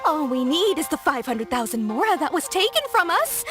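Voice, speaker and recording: A woman's voice: high-pitched, big pitch swings, moderate pace, music in the background. A woman voicing a sweet young damsel in an anime-style game, earnest, melodramatic and imploring. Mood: distressed pleading. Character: sweet, pleading young damsel of a family business.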